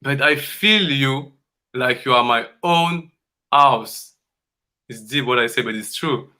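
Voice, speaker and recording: A man from Congo, speaking as a dance coach in earnest, halting English and repeating phrases for emphasis. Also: mid-range voice, bright, forceful tone. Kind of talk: conversational coaching talk.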